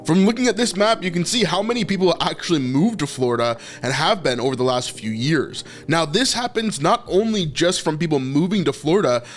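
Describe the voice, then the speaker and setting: A man hosting a faith vlog, talking casually and explaining things, often filling pauses with "like". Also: a little high, animated, soft background music. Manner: chatty, with frequent "like" fillers. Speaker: vlog host.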